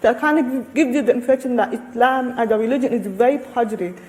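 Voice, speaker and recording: A woman speaking on a panel as a researcher, thoughtful and halting, often restarting her sentences. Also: a little high, darkish tone. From Australia. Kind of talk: panel discussion answers. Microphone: handheld microphone. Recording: long hall reverb.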